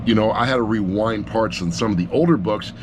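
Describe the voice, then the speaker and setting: A man's voice: on the low side, a little fast, background noise. A middle-aged man gives a casual, unscripted review in a rambling, blunt, self-deprecating way, picked up by an action camera sitting on a laptop. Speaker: amateur audiobook reviewer.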